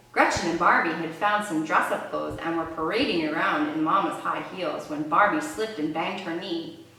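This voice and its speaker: A woman with a low voice speaks as a reading tutor and storyteller. Her delivery is expressive and conversational, directed at her listeners. The recording is very echoey and sounds some way from the microphone. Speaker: reading tutor and read-aloud presenter. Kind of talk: read-aloud storytelling and instructional explanation. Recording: roomy echo, distant microphone.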